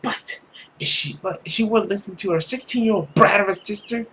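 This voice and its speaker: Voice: medium pitch, a little fast, sharp tone, slight background noise, voice pushed up, close to an everyday voice, low-quality recording. A reader as a brash, indignant teenage girl. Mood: earnest, exasperated. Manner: indignant, emphatic, ranting. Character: hot-tempered, brash, foul-mouthed sixteen-year-old girl.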